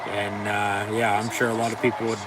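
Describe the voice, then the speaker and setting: A man's voice: fairly low pitch, monotone, distant mic, heavy background noise. A man doing casual play-by-play reactions to a wrestling event, rambling and cursing with many fillers. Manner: rambling, profane, halting with fillers. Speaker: watch-along commentator.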